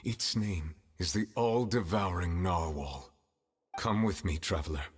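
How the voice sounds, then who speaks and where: Deep, solemn, stately judge. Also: male voice, measured pace, slightly rough. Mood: grave resolve. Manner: formal, grave, commanding. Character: solemn, stately male judge wielding ancient dragon power. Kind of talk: scripted video game acting. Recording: loud music, long reverb.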